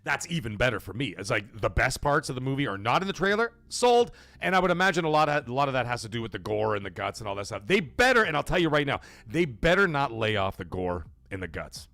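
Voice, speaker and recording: Excitable rambling man hosting a live stream. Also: mid-range voice, quick pace, creaky, few pauses, dry recording. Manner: rambling, excitable, swears casually, lots of fillers and restarts. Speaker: livestream podcast host. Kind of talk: casual live-stream chat reading chat names.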